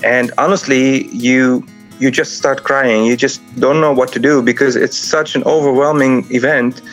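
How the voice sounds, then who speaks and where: A man from Lebanon, guest on a radio interview, telling his story in a lively way. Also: mid-range voice, normal speed, soft background music, low-quality recording. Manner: lively retelling, quoting others. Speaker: guest, volunteer, engineer.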